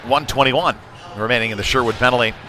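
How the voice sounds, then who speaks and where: A man's voice: mid-range voice, quick pace, bright, forceful tone. Folksy male hockey announcer, noisy rink. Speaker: play-by-play hockey commentator. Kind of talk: live youth hockey game commentary. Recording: noisy rink ambience behind voice.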